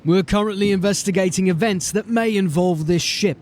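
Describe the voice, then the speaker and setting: A man's voice: high voice, moderate pace, a little mellow, a little hiss or hum, natural voice. A man playing a stern male city watch sergeant who questions people in a formal, suspicious way. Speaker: police sergeant.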